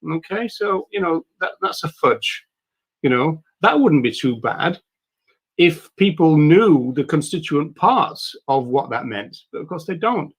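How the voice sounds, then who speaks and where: Croaky guy hosting a stream, bit high voice, rambly, lots of uh and um. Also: big pitch swings, measured pace, low-quality recording. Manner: rambling, with many fillers and restarts. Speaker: livestream host. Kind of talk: casual livestream talk.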